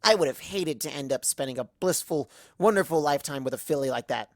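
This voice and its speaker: A woman voicing a tipsy, bitter young female cartoon unicorn with a sarcastic, halting drawl. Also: sits low, expressive, dry recording, clean studio sound, pitched below the performer's own voice.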